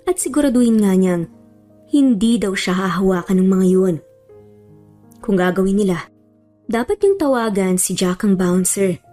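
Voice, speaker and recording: A woman narrates a romance tale in a chatty, colloquial style. Her voice is mellow and dark at a middling pitch, with light music playing underneath. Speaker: storyteller narrator. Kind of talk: scripted romance story narration.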